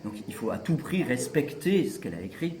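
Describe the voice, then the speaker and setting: A man, an opera singer acting in a comedy, speaking in an interview with halting repetitions. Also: mid-range voice, very animated, echoey room, crowd noise. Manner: halting, repeats words, good-humored. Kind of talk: festival interview, casual chat.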